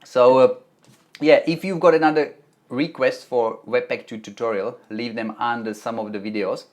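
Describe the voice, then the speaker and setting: A man's voice: mid-range voice, fairly even intonation, normal speed. A man from the Czech Republic with a Czech accent, hosting a live stream and chatting through viewer questions about web development tutorials, often restarting his sentences. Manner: chatty, reads chat aloud, frequent restarts. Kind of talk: live stream Q&A, answering chat. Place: home office, Melbourne.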